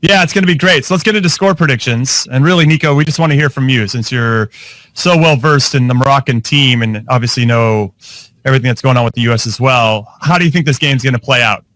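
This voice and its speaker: A man's voice: medium pitch, fast talker, a bit bright, no room sound. A man hosting a casual soccer podcast, upbeat and steering the talk. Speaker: podcast host.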